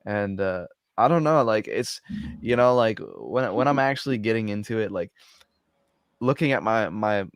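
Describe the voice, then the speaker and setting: Soft spoken guy, low bassy voice, relaxed chat, dry mic. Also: normal speed, no echo. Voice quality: bassy speaking voice. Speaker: guest rapper. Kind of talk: casual interview chat.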